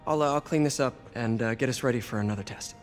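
A man's voice: medium pitch, quick pace, faint music behind, lightly acted. An actor playing an earnest, slightly awkward young man, hesitant and sincere, in a naturalistic video game drama. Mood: awkward, caring. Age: young adult. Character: earnest, awkward young lab assistant and nephew.

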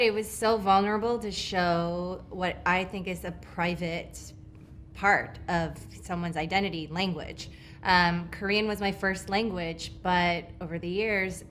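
Measured woman actor with a low voice. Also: a bit bright, a little hiss or hum. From Los Angeles. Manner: thoughtful, measured, with fillers. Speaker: actor interviewee. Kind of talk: press junket interview. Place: studio set.

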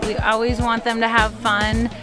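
Upbeat woman coach, outdoor interview, loud music bed underneath. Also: fairly high pitch, completely flat delivery, moderate pace. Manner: upbeat, enthusiastic. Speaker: para nordic coach. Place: outdoors on snowy ski field.

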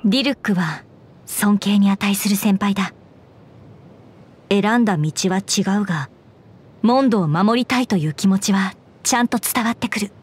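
A woman voicing an earnest, dutiful young knight commander in a Japanese game, speaking in a formal, measured way. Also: medium pitch, breathy edge, natural voice. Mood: solemn, earnest. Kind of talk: Japanese game character voice lines. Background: background music.

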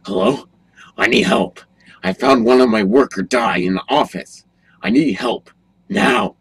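A man acting an evasive, sinister restaurant owner with halting delivery in an amateur horror audio drama. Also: medium pitch, expressive, fairly bright tone, slightly rough, heavily acted. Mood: feigned panic. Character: evasive, sinister male animatronic restaurant owner hiding murders.

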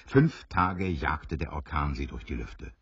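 A man narrating a dramatised story in a theatrical, deliberate way with a wry touch. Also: a bass voice, expressive, slightly rough, no echo, exaggerated voice. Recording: dry, slightly dull studio recording.

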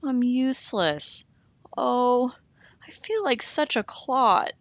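A woman voicing a shy, gentle young male giraffe in a picture book read-aloud, speaking softly and in a higher voice than her narration. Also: lively intonation, slow pace, dry recording. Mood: downcast.

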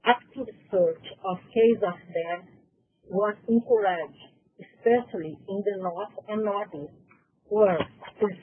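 A woman's voice: mid-range voice, slightly rough. Brazilian-accented woman reading a talk. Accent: Brazilian Portuguese. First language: Portuguese. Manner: careful, halting reading with self-corrections. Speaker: conference presenter. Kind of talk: reading a prepared presentation. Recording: tinny phone-like conference audio.

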